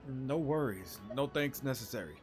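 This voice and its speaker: A man's voice: medium pitch, expressive, moderate pace. Man gaming aloud over music. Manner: thinking aloud in fragments. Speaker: gaming streamer. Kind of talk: casual let's play commentary.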